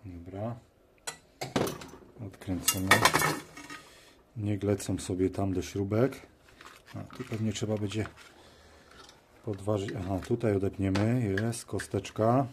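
A man giving casual hands-on commentary, musing aloud in short, halting phrases as he works at a workshop bench. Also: fairly low pitch, steady tone. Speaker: host of a DIY teardown video. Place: workshop at a cluttered metal bench. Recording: noisy workbench with clicks and clatter. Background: tool clicks and metallic clatter.

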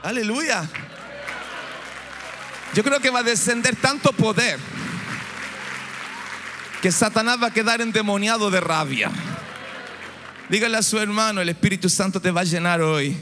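A man's voice: high voice, moderate pace, long pauses, crowd noise, background noise. A man in his forties, born in Chile, preaching a fervent sermon into a handheld mic on a big church stage. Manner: fervent, rising to shouts and exclamations. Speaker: pastor. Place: large church stage before a big congregation. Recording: echoey hall with music behind.

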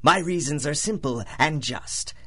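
An actor playing a vengeful, grandiose supervillain in a radio drama, speaking with theatrical, deliberate menace in a husky voice. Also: male voice, fairly high pitch, animated, pushed and bright, exaggerated voice. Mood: smug menace. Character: vengeful male supervillain, grandiose, bitter, unhinged. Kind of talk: 1930s-style radio drama acting.

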